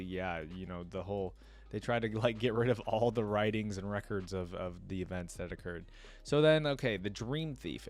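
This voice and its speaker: A man with a fairly low voice hosts a casual reaction video. He moves between reading passages aloud and informal commentary, thinking out loud as he goes.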